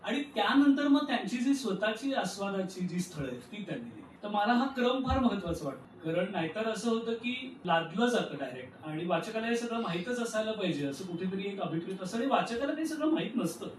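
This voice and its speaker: A man's voice: sits high, lively intonation, a little fast, few pauses. A man gives an informal, explanatory public talk about books, mixing in English words. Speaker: book reviewer speaking at an award ceremony. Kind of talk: conversational public speech. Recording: very echoey hall, distant, faint noise.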